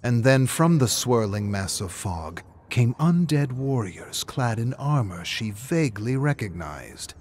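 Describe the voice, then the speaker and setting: A man's voice: medium pitch, very animated, unhurried, hardly any pauses, darkish tone, a little hiss or hum. A man reading a scripted story aloud as a narrator, with theatrical, suspenseful delivery. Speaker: narrator reading game lore.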